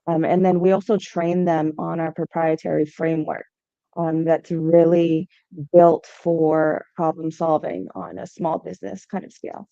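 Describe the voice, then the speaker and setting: A woman's voice: low-pitched, level tone, a little slow, creaky, dark tone, no room sound. A young adult woman from Hawaii, a startup founder answering podcast interview questions in a conversational way, often saying "um" and "right?". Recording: dull lo-fi sound.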